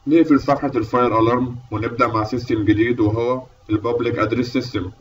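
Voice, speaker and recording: A man's voice: medium pitch, fairly even intonation, very fast, distant mic, dull audio. A man with an Egyptian Arabic accent giving a methodical, explanatory lecture as an instructor, step by step. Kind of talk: explanatory lecture over slides.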